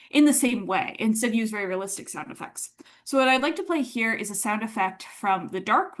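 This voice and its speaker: A woman lecturer explaining her subject in measured, thoughtful academic phrasing, partly scripted. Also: mid-range voice.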